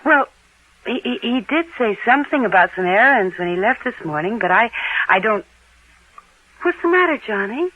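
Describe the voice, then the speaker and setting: Flustered, evasive wife, tinny radio sound. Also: female voice, a little high, lively intonation, moderate pace. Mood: chatty, deflecting. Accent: American. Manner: hesitant, repeating and stammering. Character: evasive, flustered young married American woman. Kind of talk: old-time radio drama acting. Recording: narrow, telephone-like old radio recording.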